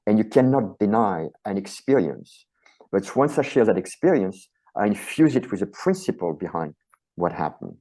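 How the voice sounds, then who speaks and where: French-accented middle-aged guy, low mellow voice, talks with passion, piles up lists. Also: big pitch swings, moderate pace, low-quality recording. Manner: passionate, repeats phrases in lists. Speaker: chiropractor and author, guest. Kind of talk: earnest interview answers.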